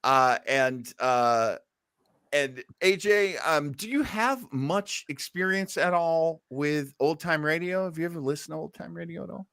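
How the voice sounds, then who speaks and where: A man's voice: a little high, expressive, measured pace, a bit bright, clear, resonant tone, no room sound, clean studio sound. A man hosting an old time radio listening show in casual livestream chat, with an effusive, jokey, informal manner.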